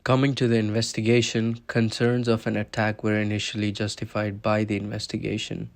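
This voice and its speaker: A man's voice: lowish voice, monotone, fairly quick, faint music behind. A man narrating a scripted documentary, explaining events step by step.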